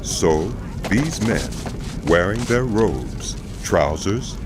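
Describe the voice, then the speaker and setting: A man narrates scripture for an audio drama in a deep voice. His delivery is solemn and emphatic, with wide, animated swings in pitch. Clear background ambience runs under the narration. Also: very slow, noisy background.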